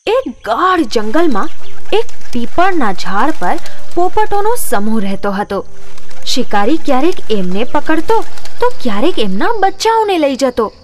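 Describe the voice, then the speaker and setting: A woman narrating a children's story in an expressive, scripted storybook style. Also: sits high, measured pace, slight background noise.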